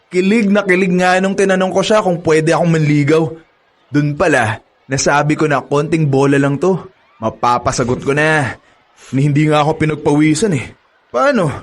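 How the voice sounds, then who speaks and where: A man's voice: on the high side, normal speed, close to an everyday voice. An actor playing a cocky, boastful young male college student who uses women, laughing smugly as he brags. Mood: cocky boasting. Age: young adult. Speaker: actor in a radio drama. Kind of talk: radio drama acting.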